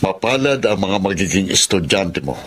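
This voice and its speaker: An appreciative Filipino father in a radio drama. His lowish voice is sincere and straightforward, with faint noise around it. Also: big pitch swings, normal speed. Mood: grateful, apologetic. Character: appreciative, apologetic father of a schoolboy. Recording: faint noise, ambient sound behind.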